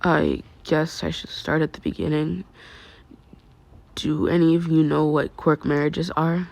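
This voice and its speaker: Woman reading a monotone, guarded teenage boy. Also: low voice, a little slow, vocal fry, exaggerated voice. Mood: flat, resigned. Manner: monotone, matter-of-fact, subdued.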